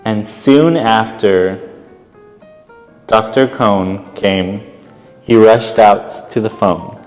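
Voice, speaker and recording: Male storytime reader: low pitch, expressive picture-book narration, reverberant space with music. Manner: expressive, pausing, commenting on the pictures. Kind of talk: read-aloud children's picture book narration with casual asides.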